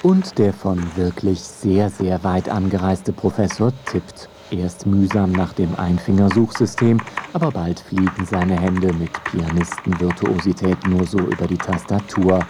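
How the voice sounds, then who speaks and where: A man's voice: low-pitched, steady tone, a little slow, few pauses, background noise. A man narrating a scripted radio feature in a wry storytelling way.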